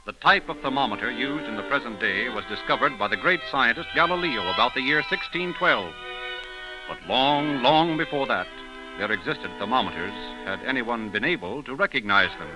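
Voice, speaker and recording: A man's voice: medium pitch, lively intonation, moderate pace, low-quality recording. Dramatic 1930s radio narrator, male. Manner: dramatic, declamatory. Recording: old 1930s radio recording, music behind.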